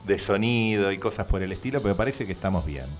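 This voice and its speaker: A man from Argentina with a Rioplatense accent co-hosts a podcast over a remote link, talking in a halting, friendly way. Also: low voice, expressive, over music. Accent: Rioplatense Spanish. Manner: halting, lots of fillers and restarts, friendly. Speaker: podcast co-host. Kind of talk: casual chat.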